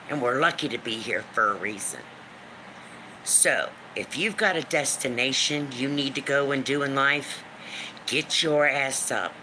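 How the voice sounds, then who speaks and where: A woman in her fifties with a deep smoker's voice, venting on a live stream in a blunt, emphatic way, swearing freely and repeating lines for emphasis. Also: bright, forceful tone, a little hiss or hum, rough recording. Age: 50s. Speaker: livestream host. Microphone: phone or webcam for a live stream.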